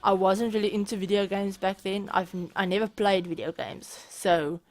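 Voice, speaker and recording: A woman's voice: medium pitch, fairly even intonation, moderate pace. A young woman with a South African accent, chatting through viewer questions in a casual gaming vlog, with frequent restarts and small laughs. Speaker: gaming YouTuber. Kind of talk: casual Q&A over a speed build.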